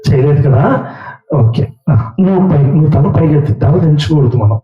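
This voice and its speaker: A man's voice: on the high side, fairly quick, faint music behind. A man teaching children at a Sunday school puppet show, coaxing and questioning a child in a large hall. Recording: roomy, distant, dull sound.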